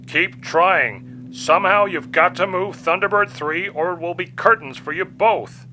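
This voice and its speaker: Man acting a gruff, edgy commanding father. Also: high-pitched, unhurried, bright, forceful tone, slight background noise, pitched above the performer's own voice, exaggerated voice. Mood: harsh urging. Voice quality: harsh, edgy. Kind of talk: dramatic audio story reading.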